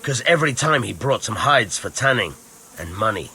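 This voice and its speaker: A man's voice: lowish voice, animated, moderate pace, pushed and bright, slight background noise. An actor playing a plain-spoken village tanner in a video game, a guarded father with rustic speech. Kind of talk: video game cutscene acting.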